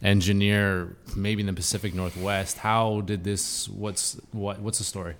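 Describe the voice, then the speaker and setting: A man hosting a casual interview, relaxed, swearing freely and laughing often. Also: on the low side, measured pace. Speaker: podcast host.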